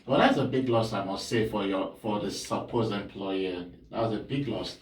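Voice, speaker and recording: A man's voice: fairly low pitch, normal speed. A man hosting an interview, encouraging his guest with frequent short acknowledgements. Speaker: interview host. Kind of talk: casual interview. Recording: roomy sound, mic at a distance.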